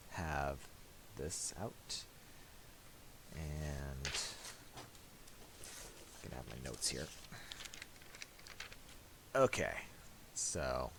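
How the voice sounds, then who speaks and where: Very deep-voiced man, halting delivery. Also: gravelly. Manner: hesitant, halting, piecemeal delivery. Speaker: tutorial presenter. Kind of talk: casual screen-recorded software tutorial, thinking aloud. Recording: steady hiss and clicking noise.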